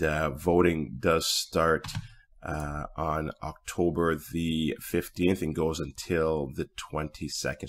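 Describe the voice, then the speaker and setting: A man hosting a casual podcast interview, speaking in a halting way and often repeating his words. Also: very deep voice, a bit bright. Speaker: podcast host.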